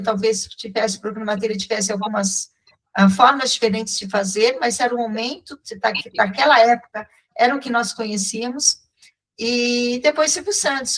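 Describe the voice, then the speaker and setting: Brazilian woman hosting a radio talk, fairly high voice, chatty, full of fillers. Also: fairly bright tone, low-quality recording. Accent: Brazilian Portuguese. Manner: chatty, hesitant with many fillers. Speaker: radio host.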